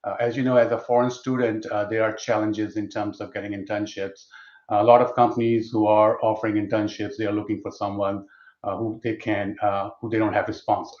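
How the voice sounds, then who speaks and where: A man's voice: on the low side, flat delivery, fairly quick, darkish tone. A man in his fifties from Pakistan, a guest speaking on a video call, hesitant with many fillers. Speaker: guest, IT executive. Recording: dull roomy video call audio.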